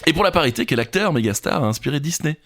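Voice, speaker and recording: A man's voice: on the high side, expressive, quick pace, a bit bright. A man, co-hosting a quiz podcast with casual, jokey banter. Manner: jokey, informal.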